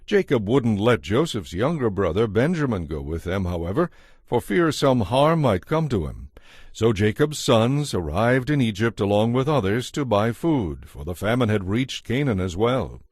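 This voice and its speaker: Male narrator for audio Bible: lower pitch, scripted storytelling, dry close recording. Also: big pitch swings, normal speed, darkish tone, breathy edge, no room sound.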